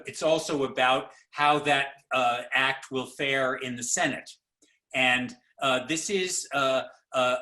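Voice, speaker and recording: Halting, slow-talking man moderating. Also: mid-range voice, pushed and bright. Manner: halting, many fillers and restarts. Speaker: panel moderator. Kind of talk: live video call panel discussion. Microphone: video call.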